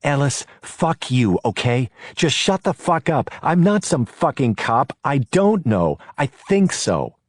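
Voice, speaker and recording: A man's voice: medium pitch, wildly swooping pitch, takes it slowly, hardly any pauses, darkish tone, no echo, clean studio sound, voice pushed up, full character voice. A man voicing a frightened, edgy woman getaway driver who sounds tense and strained. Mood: angry.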